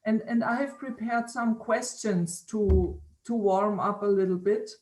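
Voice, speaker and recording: A woman interviewer on a video call who speaks haltingly, repeating words and laughing often. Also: mid-range voice, a little mellow, poor audio. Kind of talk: casual conversation.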